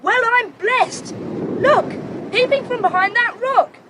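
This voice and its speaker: A woman playing a lively, inquisitive child adventurer in a children's audio drama. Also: very high voice, takes it slowly, very noisy. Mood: exasperated.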